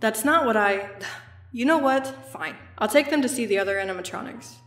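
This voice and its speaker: A woman's voice: fairly high pitch, expressive, fairly quick, fairly bright tone. A woman voicing a curt, irritable night security guard in a comic dub, blunt and dismissive in manner. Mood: exasperated. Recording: echoey, faint music behind.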